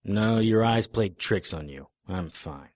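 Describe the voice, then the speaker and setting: A man's voice: lowish voice, animated, unhurried, no room sound, clean studio sound. A man playing a tough, sardonic private investigator in a noir radio drama, both narrating and speaking in scenes. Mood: weary, dry. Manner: hard-boiled, sardonic. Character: tough, defiant, wry male private investigator. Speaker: lead actor and narrator.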